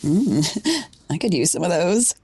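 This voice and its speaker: Low-voiced, teasing librarian. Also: female voice, big pitch swings, takes it slowly, mellow, breathy edge, no room sound, heavily acted. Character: teasing, matter-of-fact female librarian, flirty and furtive. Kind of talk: scripted audio comedy acting.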